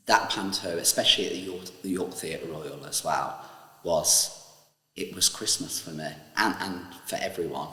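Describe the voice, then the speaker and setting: A stage actor speaking fondly and earnestly about his fellow cast, a little halting. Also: low voice, pushed and bright, reverberant, long reverb tail, low-quality recording. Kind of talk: interview.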